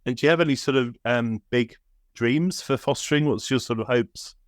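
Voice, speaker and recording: A man's voice: medium pitch, normal speed, no echo, studio quality. A man hosting a podcast, asking questions in a chatty way and hedging with fillers. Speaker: podcast host, foster carer. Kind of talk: conversational interview.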